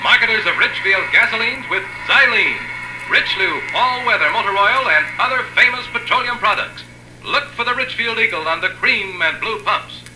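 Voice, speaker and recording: An echoey old radio recording with music behind. A high-pitched male announcer delivers a scripted read in 1950s broadcast style. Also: animated, moderate pace.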